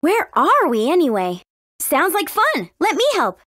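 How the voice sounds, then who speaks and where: A woman's voice: extremely high pitch, huge pitch swings, a little slow, a bit bright, no room sound, studio quality, exaggerated voice. A woman voicing a spirited, cautious fifteen-year-old warrior princess in a video game, earnest and youthful in her delivery. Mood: cheerful. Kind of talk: English video game voice acting.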